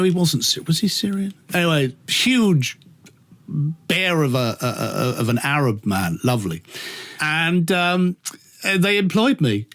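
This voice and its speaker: Camp, animated British man, higher-pitched. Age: middle-aged or older. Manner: camp, theatrical, acts out quoted dialogue. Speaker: radio presenter, interview guest. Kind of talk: animated anecdotal chat.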